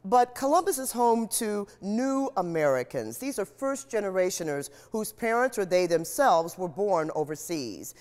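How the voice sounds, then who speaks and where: A woman host reading scripted narration for a local news feature and putting questions to viewers in a measured way. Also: medium pitch, sing-song, a little mellow.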